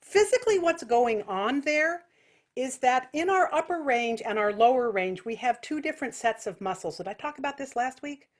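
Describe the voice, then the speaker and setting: A woman's voice: high-pitched, lively intonation, normal speed. A woman giving an informal singing lesson as a vocal technique teacher, explaining things with vivid imagery.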